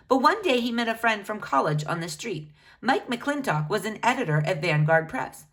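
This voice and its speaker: A woman narrates a children's biography aloud in an expressive, teacherly manner. Her voice sits at an average pitch for a woman and swings widely up and down through the phrases. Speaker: children's book reader.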